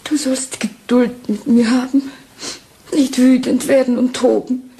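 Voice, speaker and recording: A woman's voice: high voice, steady tone, a little slow, warm tone, a little hiss or hum. An actress playing a fragile, anxious wife in a radio play, pleading and distraught with her husband. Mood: hurt, pleading. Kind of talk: German radio play acting.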